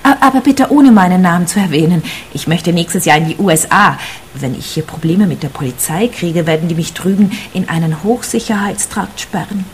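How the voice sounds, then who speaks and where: A woman's voice: lowish voice, animated, fairly quick, a little hiss or hum, natural voice. An actress playing an anxious, earnest woman who seeks a detective's protection, in radio play dialogue. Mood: nervous, evasive. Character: anxious, insistent female client seeking protection.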